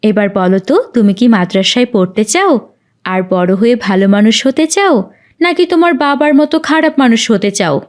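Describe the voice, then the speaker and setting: A woman's voice: on the high side, normal speed, close to an everyday voice. A woman voicing an earnest, preachy young aunt in a cartoon. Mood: reassuring, earnest. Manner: earnest, admonishing. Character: kindly, preachy young aunt helping street children. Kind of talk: scripted cartoon dialogue.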